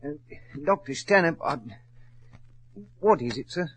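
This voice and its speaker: An actor playing a nervous, despairing servant, halting and melodramatic in 1940s radio drama style. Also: male voice, a little high, expressive. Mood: stunned. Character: nervous, despairing male servant, easily overwrought.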